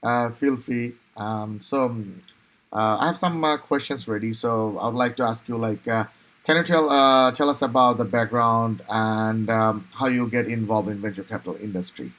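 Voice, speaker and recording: A man's voice: medium pitch, normal speed, fairly bright tone. A young man from Bangladesh hosting a casual interview over a video call, talking with many fillers and restarts. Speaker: podcast host.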